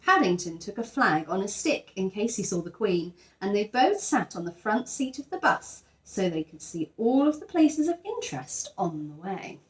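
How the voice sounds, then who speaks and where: A woman teacher sharing a children's picture book, expressive and enthusiastic, with chatty asides. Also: mid-range voice, moderate pace, few pauses, rough recording. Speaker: teacher reading to pupils. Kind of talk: children's storybook read-aloud with chatty asides.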